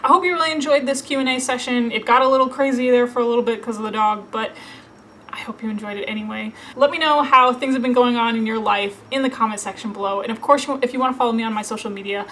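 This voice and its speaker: Woman, 30s, from Minnesota, slightly high voice, casual filler-heavy vlog chat, faint noise. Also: fairly even intonation, fast talker, bright, forceful tone. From Minnesota, USA. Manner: chatty, filler-heavy, laughs often. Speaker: book vlogger. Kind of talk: casual Q&A to camera.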